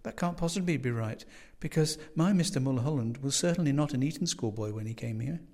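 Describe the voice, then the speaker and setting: A man's voice: mid-range voice, animated, a little fast, a little mellow. A man voicing a genteel older landlady in a story, gushing, absent-minded and sweetly fussy. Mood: coaxing. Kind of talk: storybook character voice.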